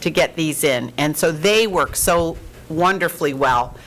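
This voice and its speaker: A woman's voice: deep voice, unhurried, a bit bright, poor audio. A woman giving an upbeat, emphatic presentation at a school board meeting. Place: meeting room.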